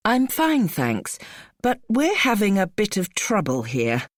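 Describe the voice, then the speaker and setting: A woman playing a polite marketing employee asking for help in a scripted lesson phone call, speaking clearly. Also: medium pitch, wildly swooping pitch, a little slow, dry recording, clean studio sound. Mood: polite, mildly concerned. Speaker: voice actor in language lesson dialogue.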